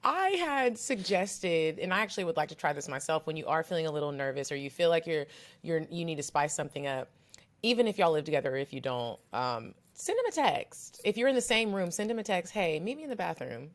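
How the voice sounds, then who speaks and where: A woman's voice: deep voice, brisk. A woman co-hosting a podcast, chatting casually in a blunt, slangy storytelling manner. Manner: blunt, profane, animated storytelling. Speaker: podcast co-host. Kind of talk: casual chat.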